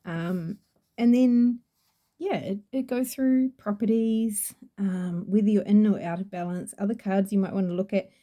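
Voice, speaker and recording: A woman's voice: mid-range voice, a little slow, dark tone. A woman with a New Zealand accent reviewing an oracle deck in a chatty, gushing way, rambling with fillers. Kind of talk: casual product review.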